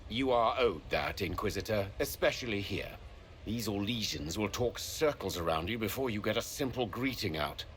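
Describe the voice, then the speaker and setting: A man's voice: on the low side, expressive, moderate pace, a bit bright, slight background noise. A man playing a frank, formal noble envoy in a fantasy video game, speaking bluntly yet courteously. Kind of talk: video game cutscene acting.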